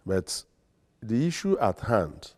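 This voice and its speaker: A man with a Nigerian accent, a lawyer and guest on a studio interview, speaking in a formal, deliberate and emphatic way. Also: fairly low pitch, very animated, a little mellow. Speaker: guest, lawyer and secretary general.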